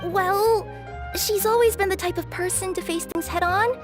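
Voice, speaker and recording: A woman's voice: extremely high pitch, moderate pace. A woman voicing a cheerful but nervous young cat spirit courier in a video game, with a hesitant, sheepish way of talking. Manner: hesitant, sheepish, with many filler sounds. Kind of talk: game voice acting. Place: recording studio. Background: game music.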